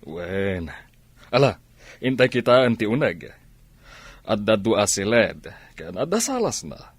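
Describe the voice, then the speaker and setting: Deep-voiced, genial older gentleman. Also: big pitch swings, slow pace, no echo, exaggerated voice. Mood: obliging. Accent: Filipino. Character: well-off older man, obliging and genial. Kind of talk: Ilocano radio drama acting.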